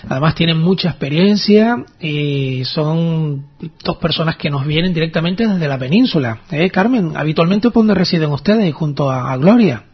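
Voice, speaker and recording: A man's voice: fairly high pitch, husky. A man hosting a radio interview, chatty, often restarting and tagging questions. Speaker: radio host. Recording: dull lo-fi radio audio.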